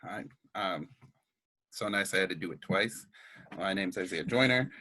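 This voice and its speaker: A young man from Canada, a student guest, talking in a relaxed, rambling way with many fillers. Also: sits low, moderate pace. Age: young adult. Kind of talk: casual conversation.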